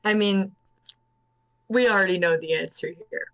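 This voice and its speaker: A woman's voice: medium pitch, normal speed, a crowd in the background. A young woman, a band's singer, telling stories casually in a call interview. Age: young adult. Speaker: band vocalist and clarinetist.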